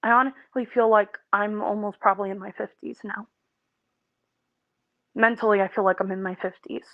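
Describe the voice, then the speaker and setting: A woman in her twenties venting in a personal video, talking in a halting, weary way with many pauses and restarts. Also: mid-range voice, steady tone, no echo, lo-fi sound. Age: 20s. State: emotionally tired. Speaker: content creator.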